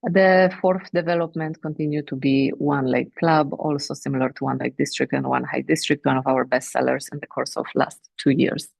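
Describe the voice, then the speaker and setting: A woman with a Romanian accent presenting company sales figures on an earnings call, measured and pausing often with fillers. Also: extremely low pitch, steady tone, gravelly, darkish tone, slightly rough, no room sound. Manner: measured, with frequent filler pauses. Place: remote teleconference.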